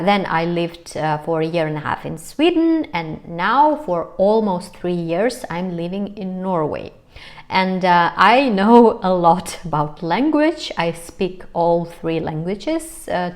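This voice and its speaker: Hesitant woman vlogger, lowish voice. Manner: hesitant, many fillers, light laughs. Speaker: vlogger, host of own channel. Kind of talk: casual talk to camera.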